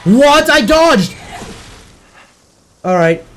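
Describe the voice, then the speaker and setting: A man's voice: very high-pitched, very animated, very slow, a bit bright, slight background noise. A man streaming a video game and chatting casually, his voice worn from daily streaming, rambling and correcting himself as he goes. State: losing his voice. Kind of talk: casual chat while gaming.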